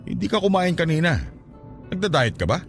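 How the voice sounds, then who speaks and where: An actor playing a caring, inquisitive male partner in a radio drama, solicitous and gently probing. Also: high voice, very animated, brisk, gravelly, soft background music, full character voice. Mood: caring, curious. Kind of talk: Tagalog radio drama acting.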